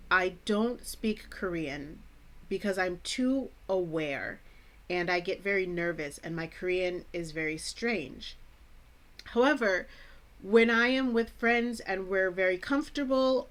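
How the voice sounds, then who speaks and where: An American woman from the Chicago suburbs who hosts an English-teaching vlog, speaking in a clear, deliberate teacher's manner with playful asides. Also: mid-range voice, a bit bright. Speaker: English teacher, channel host. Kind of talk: scripted educational vlog.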